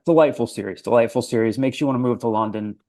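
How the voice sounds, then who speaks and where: A man's voice: medium pitch, fast, darkish tone. A young man hosting a casual sports podcast, chatty and self-deprecating, with lots of fillers. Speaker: podcast host.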